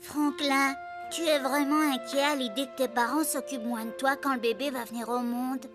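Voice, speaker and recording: A woman voicing a timid, loyal little boy snail with a gentle, worried, childlike manner in a French-dubbed children's cartoon. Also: high-pitched, steady tone, moderate pace, music in the background, lightly acted. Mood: tender, worried.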